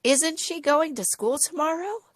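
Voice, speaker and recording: A woman tutoring a child in English online, slightly hoarse and losing her voice, modeling sentences slowly and clearly. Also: high voice, dry recording. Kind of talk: patient teaching over a video lesson.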